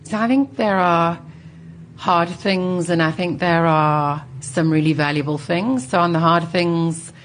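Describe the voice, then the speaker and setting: A woman in her sixties with a low voice speaks as an interview guest. She tells personal stories in an articulate, reflective way, pausing to think as she goes. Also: a little hiss or hum. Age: 60s.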